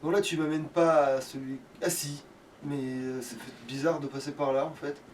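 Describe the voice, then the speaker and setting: A man's voice: on the high side, steady tone, normal speed, far from the mic, slight background noise. A man giving casual let's play commentary with a friend, grumbling and swearing in a colloquial way. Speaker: let's player.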